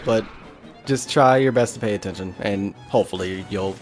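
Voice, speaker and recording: A man's voice: fairly low pitch, moderate pace. A man doing casual Let's Play commentary, rambling and thinking aloud as he plays. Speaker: gaming video host. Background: faint game music.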